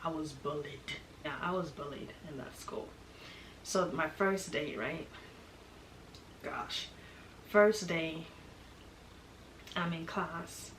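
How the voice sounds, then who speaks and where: Young woman, from Kenya, lowish voice, chatty storytime, echoey room, background noise. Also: slow, pauses often. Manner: chatty and expressive, laughing often. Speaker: vlogger. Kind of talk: casual storytime chat.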